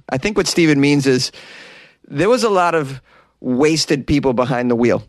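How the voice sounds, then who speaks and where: A man's voice: on the high side, measured pace, no room sound, clean studio sound. A man who grew up in Boston, hosting as a comedian and joking through odd news stories with wry asides and laughs. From Boston, United States. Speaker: comedian and host.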